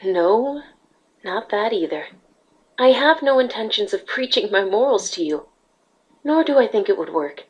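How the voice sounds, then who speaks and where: Regal pony ruler voiced by a woman: calm, measured, formal, with a breathy mid-range voice. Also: medium pitch, poor audio. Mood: calm, solemn. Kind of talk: dramatic fan fiction reading, cartoon pony character.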